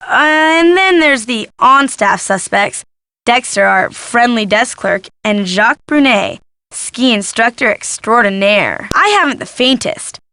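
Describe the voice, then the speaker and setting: A woman's voice: on the high side, expressive, a little slow, sharp tone, clear, resonant tone, no echo, exaggerated voice. A woman playing a gossipy, snarky young female photojournalist in a video game, with sardonic, dramatic emphasis. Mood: chatty, sly. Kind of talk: video game character dialogue.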